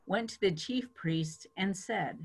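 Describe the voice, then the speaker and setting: A woman's voice: fairly low pitch, lively intonation, slow pace, husky. A woman giving a dramatic reading of scripture as a church reader.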